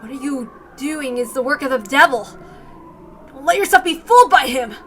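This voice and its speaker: Lady reading as a serious urgent army guy, highish bright voice, forceful, visual novel drama. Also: animated, moderate pace, a little hiss or hum. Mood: alarmed, pleading. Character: grave, urgent military man facing disaster.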